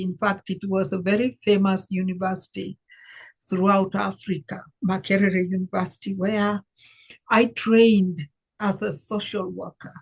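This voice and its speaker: An older woman from Uganda, a life coach, telling her life story in an interview in an unhurried, reflective way with many fillers. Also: medium pitch, darkish tone, breathy edge, rough recording.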